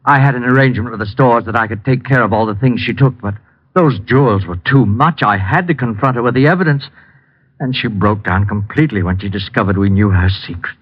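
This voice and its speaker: An actor playing a composed, evasive husband under suspicion in a 1950s radio drama, explaining himself carefully. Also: male voice, lowish voice, brisk, close to an everyday voice. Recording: dull lo-fi old radio broadcast.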